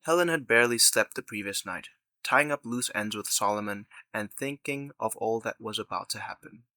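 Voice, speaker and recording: A man narrates a scripted audio drama. His voice is fairly low yet bright and forceful, recorded very dry. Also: moderate pace, no echo.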